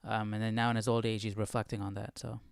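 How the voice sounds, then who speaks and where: A man's voice: fairly low pitch, flat delivery, quick pace, gravelly, smooth, no room sound, clean studio sound. A man hosting a livestream study, reading and commenting aloud in a casual, conversational way, often checking in with the listener. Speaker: host of a livestream Bible study.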